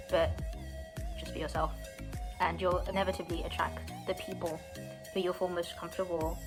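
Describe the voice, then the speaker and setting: A young woman, earnestly telling her personal story as a student, with some hesitant fillers. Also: on the low side. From London, England. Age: 20s. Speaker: university student. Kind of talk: personal story, read to camera.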